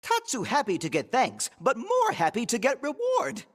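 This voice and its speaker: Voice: mid-range voice, huge pitch swings, normal speed. An actor voicing a small, simple-hearted, greedy creature in a video game, talking in childlike broken grammar. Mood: chirpy. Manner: childlike broken grammar, refers to self by name. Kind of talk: video game character acting.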